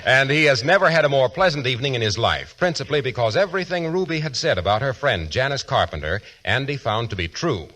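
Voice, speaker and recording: A man's voice: mid-range voice, animated, quick pace, hardly any pauses, bright, forceful tone. A man narrating a scripted story as a 1940s radio announcer. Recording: faintly noisy 1940s radio recording.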